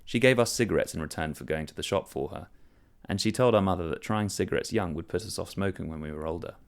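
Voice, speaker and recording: A man reading a short story aloud, telling it in a measured, wry first-person voice. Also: low voice. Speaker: story reader. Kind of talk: scripted narration of a short story.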